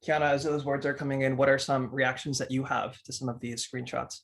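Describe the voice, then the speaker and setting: A man's voice: mid-range voice, monotone, brisk, creaky, a bit bright. A man in his thirties from the United States, a teacher co-hosting a webinar. He presents in an earnest way with frequent restarts and fillers. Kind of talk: presenting a discussion talk.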